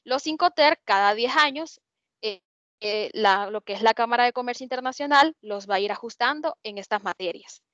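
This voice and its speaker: A woman with a Honduran accent delivers a lecture in a fairly high voice, pausing often to hesitate and restart her sentences. The sound is dull and lo-fi, with a dry, close feel and no room echo. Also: fairly bright tone. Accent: Honduran Spanish. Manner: explanatory, many hesitations and restarts. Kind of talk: online lecture.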